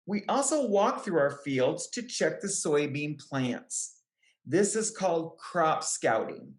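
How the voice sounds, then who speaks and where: Low-voiced woman reading slowly, roomy echo. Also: big pitch swings. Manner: careful, deliberate phrasing with short explanatory asides to children. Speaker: children's book reader, extension educator. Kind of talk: picture book read-aloud for young children. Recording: roomy, echoing sound.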